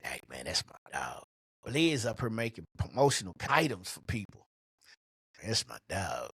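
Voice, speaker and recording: A middle-aged man with a husky voice hosting a motivational business podcast, speaking in a colloquial, emphatic, punchy way on a close microphone. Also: on the low side, wildly swooping pitch, normal speed, a bit bright, no room sound. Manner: colloquial, emphatic, punchy delivery with rhetorical questions. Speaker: podcast host and coach.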